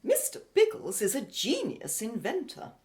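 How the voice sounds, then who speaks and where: A woman's voice: on the high side, wildly swooping pitch, moderate pace, a little mellow. An actress playing a plucky, playful woman explorer in an old-fashioned, exclamatory radio adventure style. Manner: exclamatory, old-fashioned jolly British period speech. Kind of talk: radio adventure drama acting.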